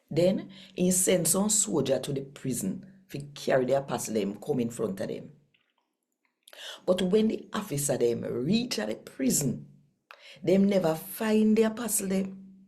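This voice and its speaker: A woman with a Jamaican accent hosting and reading scripture in an expressive, deliberate storytelling manner. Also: low-pitched. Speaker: host and scripture reader.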